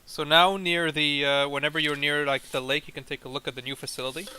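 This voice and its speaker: Bright, clear-voiced young man gaming. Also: fairly high pitch, level tone, quick pace, no room sound. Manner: teasing, chatty, explains at length. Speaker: co-host playing a factory game. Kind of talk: casual chat over gameplay.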